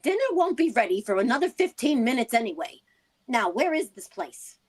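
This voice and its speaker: A woman playing an insistent, high-voiced wife. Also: big pitch swings, a little fast, breathy edge. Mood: worried, insistent. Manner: colloquial, insistent. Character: stubborn, worried working-class wife, insistent and inquisitive. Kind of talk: vintage radio drama acting.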